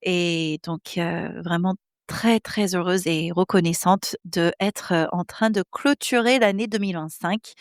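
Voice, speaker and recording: A woman's voice: medium pitch, no room sound, studio quality. A woman in her thirties from the American Midwest, speaking French with an American accent. She hosts her podcast from her home office in a personal, hesitant monologue, with many fillers as she searches for words. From United States, Midwest. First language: English. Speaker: podcast host.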